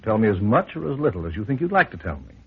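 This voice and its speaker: An actor playing a courteous, formal mystery storyteller in an old-time radio drama, with measured theatrical delivery. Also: male voice, lowish voice, big pitch swings. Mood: concerned, friendly. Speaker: host and storyteller. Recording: tinny, hissy old radio recording.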